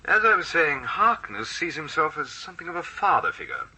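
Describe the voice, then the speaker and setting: An actor playing a smug, condescending official heard on a played-back tape in an old radio drama, husky and sharp. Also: male voice, fairly high pitch, wildly swooping pitch, a little fast, exaggerated voice, rough recording. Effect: played-back tape recording.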